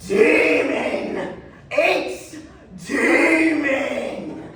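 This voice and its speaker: Woman doing a pompous puritan judge, stern and zealous, slow and highish, stage play in a big echoey room. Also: lively intonation, fairly bright tone, full character voice. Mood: zealous triumph. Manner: pompous, declamatory. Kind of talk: theatrical stage acting. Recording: echoey hall from far off, faint noise.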